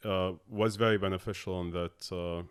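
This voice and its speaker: A man in his thirties from Abu Dhabi, a tech co-founder talking as a podcast guest in a halting way with many filler sounds. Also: low voice, fairly even intonation, clean tone. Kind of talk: casual interview talk.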